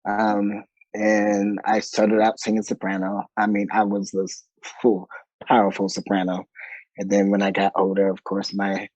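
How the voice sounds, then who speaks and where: Playful, low-voiced man in his forties. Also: monotone, measured pace, a little mellow, no echo, muffled recording. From Monterey, California. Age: 40s. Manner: playful, laughs often, many ums. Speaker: guest, choir director. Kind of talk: casual interview chat.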